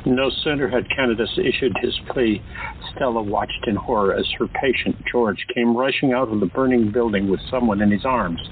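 A man narrating an audio drama in a steady, dramatic storytelling manner. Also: medium pitch, moderate pace, narrow, tinny audio, a little hiss or hum.

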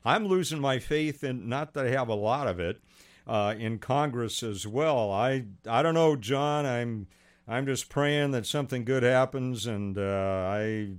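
A man hosting a radio talk show, earnest and measured, with frequent pauses. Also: mid-range voice.

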